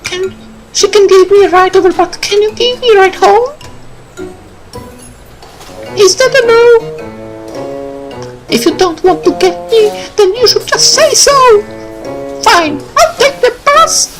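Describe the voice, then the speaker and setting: A man's voice: very high-pitched, lively intonation, a little slow, long pauses, voice pushed up, poor audio. A man voicing a moody teenage little sister in a whiny, pestering way, with music behind. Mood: pleading.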